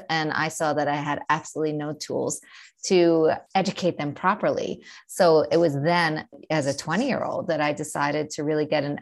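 A woman in her forties with a New York accent talks in a low voice with a gravelly edge. She explains her work with confidence, piling up examples in long lists. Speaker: guest, educator and author. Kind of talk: podcast interview.

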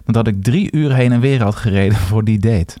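A man co-hosting a podcast in casual chat, measured and agreeable, with short affirmations and some hesitant restarts. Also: lowish voice, mellow, dry recording, clean studio sound. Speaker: podcast co-host.

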